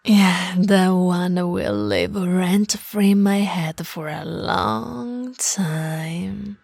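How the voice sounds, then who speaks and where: Woman acting a slow, teasing nerdy monster girlfriend. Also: lowish voice, lively intonation, darkish tone, no echo, heavily acted. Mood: nerdy, playful. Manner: playful, teasing, often dropping into breathy whispers. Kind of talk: intimate roleplay audio acting.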